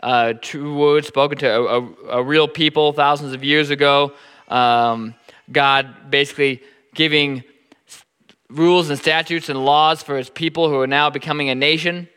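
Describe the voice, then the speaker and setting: A pastor in his thirties with a Nebraskan accent preaches in a relaxed, stop-and-start way, often doubling his words. His voice is forceful and smooth, sitting a little high for a man. The sound hangs in a church hall with a long reverb. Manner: halting, repeats words often. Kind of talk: sermon.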